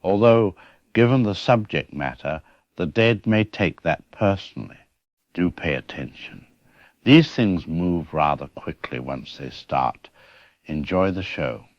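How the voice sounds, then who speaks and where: A man's voice: sits low, animated, no room sound, lo-fi sound. A man playing a formal, droll, macabre narrator who introduces a horror audio drama. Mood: droll, ominous. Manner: formal, dryly droll, deliberate. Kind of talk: scripted horror anthology introduction.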